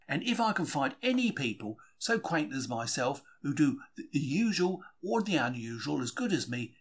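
A man's voice: fairly high pitch, a bit bright, heavily acted. A man reading a diary as a quaint, chatty, plain-spoken 1940s Cockney bookseller. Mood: chirpy, pleased. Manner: colloquial, self-regarding, plain-spoken, exclamatory. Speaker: reader of a diary. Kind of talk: dramatised first-person diary reading.

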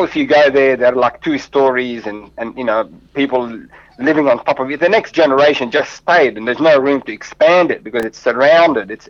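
An earnest man whose first language is Arabic tells his story in English, circling back on his words as he goes. His voice sits at an ordinary middle pitch. The studio sound is dry and a little muffled. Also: no room sound. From Lebanon. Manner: earnest, warm storytelling with frequent restarts. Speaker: guest. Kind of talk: interview answers.

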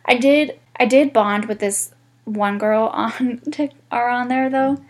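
A woman's voice: on the high side, unhurried. Congested woman vlogger, candid and rambling. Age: 20s. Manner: candid, swears freely, rambling. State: congested, stuffy nose. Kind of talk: casual chat to camera.

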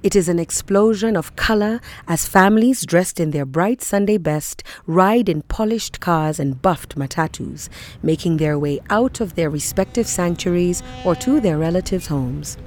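A woman's voice: lowish voice, normal speed, darkish tone. A woman reading scripted literary narration for audio fiction.

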